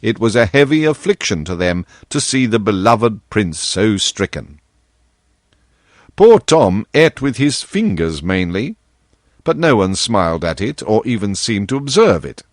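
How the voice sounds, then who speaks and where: A man reading scripted storybook narration as an audiobook narrator, expressive and measured. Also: lowish voice, no echo, clean studio sound.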